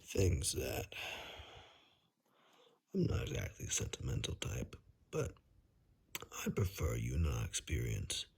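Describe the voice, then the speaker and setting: Forest ranger guide, male actor, calm and dryly wry; slow, husky near-whisper with big swings in pitch, for ASMR roleplay. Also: exaggerated voice. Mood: hushed, grave. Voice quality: breathy, gravelly whisper. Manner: slow, hushed, dryly understated.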